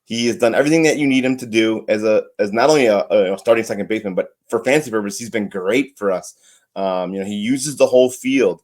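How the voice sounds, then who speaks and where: A man's voice: fairly low pitch, a little mellow. A man co-hosting a fantasy baseball podcast, casually arguing his case with emphasis. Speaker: podcast co-host.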